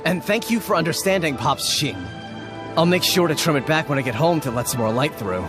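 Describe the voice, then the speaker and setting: A man's voice: fairly high pitch, animated, brisk, lightly acted. A man voicing an earnest village man who explains himself apologetically and stammers when flustered. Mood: grateful. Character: earnest young village man, dutiful son. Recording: game cutscene with loud background music.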